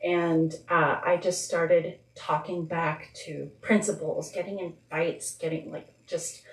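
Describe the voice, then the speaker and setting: A woman whose first language is Spanish, speaking as a guest author at a live event in a reflective, halting, informal way. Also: lowish voice, steady tone. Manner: reflective, halting, informal, with frequent filler words. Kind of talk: candid live talk answering audience questions. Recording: echoey room, distant microphone.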